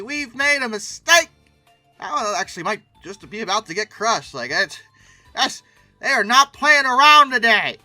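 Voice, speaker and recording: An intensely bright, forceful voice hosts casual reaction commentary in a close, dry recording. The pitch swings widely, with theatrical emphasis and words stretched out for comic effect. Music plays softly behind the voice. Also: no echo. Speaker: video host reacting to old paleoart.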